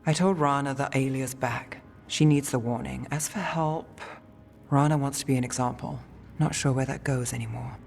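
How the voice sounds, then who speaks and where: Weary investigator in reverberant space. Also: female voice, extremely low pitch, normal speed, vocal fry, darkish tone, slight background noise. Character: weary, guarded, streetwise female investigator. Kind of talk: video game voice acting.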